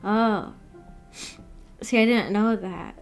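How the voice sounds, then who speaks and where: A woman's voice: medium pitch, takes it slowly, clean tone, faint music behind. A woman hosting a livestream, chatting casually and reading out viewer comments in a giggly, chatty way. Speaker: streamer and host.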